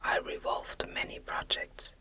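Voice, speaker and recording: A woman narrating a gothic novel as ASMR in a breathy, unvoiced whisper, with gentle, deliberate phrasing.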